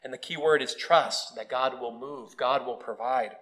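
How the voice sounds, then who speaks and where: A man's voice: mid-range voice, moderate pace. A man preaching a sermon in a church, telling stories with touches of humor. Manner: storytelling with humor, animated quoted voices.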